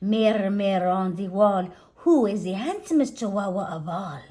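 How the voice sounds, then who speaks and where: A woman's voice: high voice, very animated, slow pace, a bit bright, pitched above the performer's own voice, exaggerated voice. A woman voicing a cheeky, boastful little boy kitten in a storybook, dramatic and defiant. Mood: boastful singsong.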